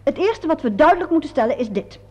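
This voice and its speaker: A woman playing a practical peacemaker in a radio play, brisk, firm and matter-of-fact. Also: high voice, lively intonation, a little mellow. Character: practical, calming woman taking charge of squabbling colleagues. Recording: dull lo-fi old radio recording.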